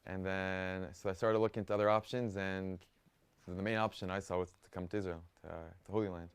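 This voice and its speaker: A young man from the United States telling in an interview how he became religious, speaking in a steady, plain way. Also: sits low, fairly quick, smooth, no echo. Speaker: student. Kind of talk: interview answers.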